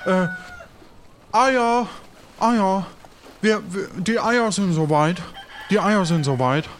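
A flustered, hospitable ship's cook, acted by a man in an audio play. The voice is on the high side, stammering and repetitive, with slight noise behind it. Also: slow pace. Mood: flustered, eager.

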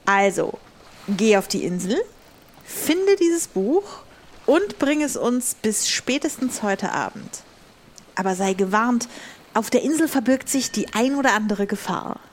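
A woman's voice: fairly high pitch, expressive, a little slow, slight background noise. A woman playing a commanding but caring pirate captain in tabletop roleplay, giving orders. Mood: commanding, cautioning.

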